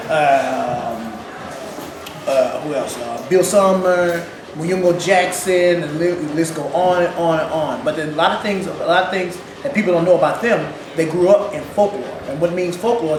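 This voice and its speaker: Ghana-born man with a St. Louis accent, lively interview guest, high-ish voice, echoey noisy room. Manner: animated, laughing, repeats words. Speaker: percussionist guest. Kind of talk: casual interview. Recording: echoey room with loud background noise.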